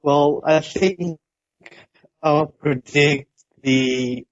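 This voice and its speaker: A slightly muffled, dry internet call from an Australian man. His voice sits fairly high, and he chats politely and casually with some hesitation as a radio caller. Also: steady tone, very slow, no echo. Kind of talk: casual live chat with a radio host.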